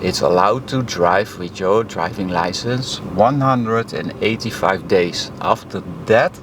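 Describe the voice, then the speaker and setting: A man with a Dutch accent, a driving instructor speaking in a casual interview, halting and simple with lots of fillers. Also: lowish voice. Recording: noisy background hum.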